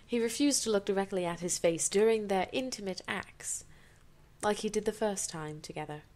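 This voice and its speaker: A woman's voice: mid-range voice, moderate pace, darkish tone. A woman doing an amateur dramatic reading of fanfiction, theatrical, with incredulous, sarcastic asides.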